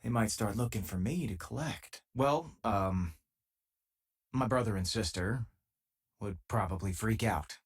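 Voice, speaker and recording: An easygoing spacer companion for a sci-fi game. His voice sits fairly low with a husky edge. He speaks conversationally, with dry, teasing humor and small thoughtful pauses. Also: expressive, heavily acted. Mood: relaxed, conversational. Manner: casual, dryly teasing, hesitant pauses. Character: easygoing, wry male space-faring companion, a former miner. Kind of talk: video game voice lines, conversational.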